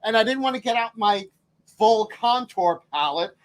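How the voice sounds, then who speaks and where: A man's voice: sits high, expressive, moderate pace. A man co-hosting as a drag queen, chatting casually, giggling often, with lots of stumbling restarts. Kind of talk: casual chat.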